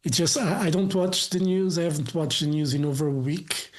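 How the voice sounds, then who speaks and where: A man's voice: a little high, a little fast. A man interviewing a musician in casual chat, rambling and leaning on "you know" fillers.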